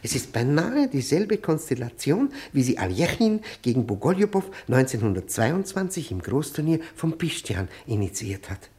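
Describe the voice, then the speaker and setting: A man playing a nervous stranger in his forties in a radio drama, an obsessive expert. His mid-pitched voice swings up and down as he gives urgent, precise instructions, sometimes muttering them as if rapt. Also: very animated, normal speed, few pauses, warm tone, close to an everyday voice. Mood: urgent, absorbed. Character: pale nervous stranger of forty-five, obsessive chess expert. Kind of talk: radio play acting.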